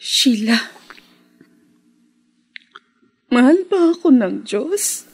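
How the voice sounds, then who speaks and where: A woman's voice: high-pitched, big pitch swings, unhurried, darkish tone, breathy edge, faint music behind, heavily acted. A woman acting a bitter, tearful daughter who feels betrayed, in a melodramatic radio drama. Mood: self-pitying. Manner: tearful, wailing delivery.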